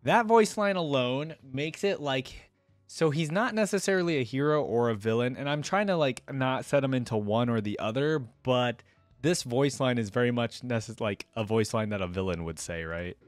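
A man's voice: mid-range voice, moderate pace, dry recording, faint music behind. Casual young man, close dry mic. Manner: informal, exclamatory, filler-heavy. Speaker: reaction video host. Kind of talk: casual reaction commentary. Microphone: close desk microphone.

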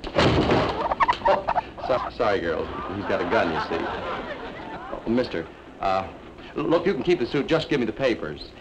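A man's voice: fairly low pitch, wildly swooping pitch, unhurried, pauses often, very noisy. An actor playing a flustered, fussy older man who stammers nervously while talking his way out of trouble, in a 1950s television comedy.

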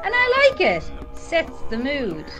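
High, animated, bossy Irish group leader played by a woman. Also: takes it slowly, over loud music, heavily acted. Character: bossy, upbeat woman leading a secretive group. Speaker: actor in an amateur radio drama. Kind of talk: radio drama dialogue.

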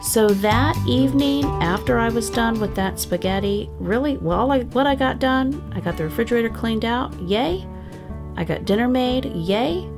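Chatty upbeat woman with a gravelly edge. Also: fairly high pitch, expressive, normal speed. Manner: chatty and upbeat, with frequent laughs. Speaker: family vlogger. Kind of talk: cozy voice-over narration of a cooking and cleaning vlog. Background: guitar background music.

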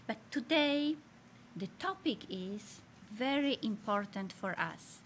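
A woman's voice: a little high, fairly bright tone, slight background noise. A middle-aged woman from Poland with a Polish accent, hosting a health show for seniors in broken English, earnest and encouraging. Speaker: host. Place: studio in front of a green screen.

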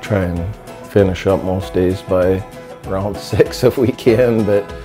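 A man's voice: low voice, takes it slowly. A plain-spoken man, talking casually like a farmer in an interview. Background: music bed.

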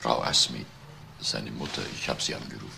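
A hesitant manservant in a crime radio drama. The voice is deep and crackles with fry, explaining deferentially over a faint bed of noise. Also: male voice, steady tone, a little fast, full character voice. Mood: reporting. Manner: hesitant, repeats words, explanatory. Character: male household servant and caretaker, deferential, hesitant.

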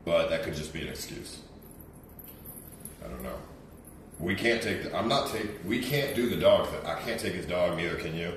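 A man's voice: low-pitched, expressive, normal speed, pauses often. A man chatting casually on a livestream in a blunt, sweary way. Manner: blunt, profane. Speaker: streamer and event organiser. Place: small kitchen, indoors. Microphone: distant camera or phone mic. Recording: echoey room, distant mic, some noise.

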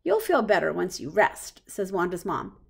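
A woman reading a picture book aloud for young children in a simple, expressive way. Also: lowish voice, moderate pace, gravelly, breathy edge. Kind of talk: children's storybook read-aloud.

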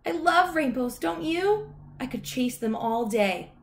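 A gushing, friendly storybook dog played by a woman reader, pitched well up high. She is chatty and eager to please, with a cheerful, apologetic temper. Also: animated, a little slow, vocal fry, slight background noise, heavily acted. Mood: bubbly.

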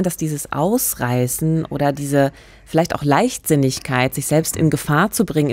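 A middle-aged woman co-hosting a true-crime podcast, reflective and measured at a studio microphone. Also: deep voice, a little mellow, no room sound. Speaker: podcast co-host. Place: radio studio. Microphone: studio microphone, headphones.

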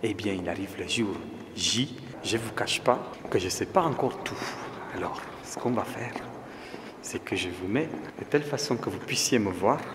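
Chatty French artist in an echoing hall. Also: male voice, on the low side, lively intonation, moderate pace, background noise. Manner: chatty, addresses viewers as friends. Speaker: artist vlogger. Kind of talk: casual vlog talk to camera. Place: large empty exhibition hall, indoors. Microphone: handheld camera at arm's length. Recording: long hall reverb with music behind.

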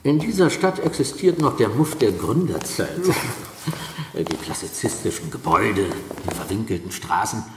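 A man's voice: lowish voice, lively intonation, moderate pace, noisy background, natural voice. An actor playing a brusque, agitated male asylum doctor who scoffs and blusters in a German radio play. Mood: chatty, disapproving. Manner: blustery, hesitant hums and short scornful chuckles. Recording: echoey hall with long reverb.

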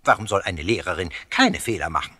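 An actor playing a cheeky, boastful, excitable little ghost in a children's radio play, huffy and given to stammers and whoops. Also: male voice, low voice, brisk, husky, heavily acted.

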